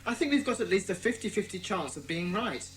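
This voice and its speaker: A woman's voice: mid-range voice, expressive, a little fast, slight background noise, natural voice. A female performer playing a sensible, bossy schoolboy club leader in a children's audio drama. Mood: reasoning.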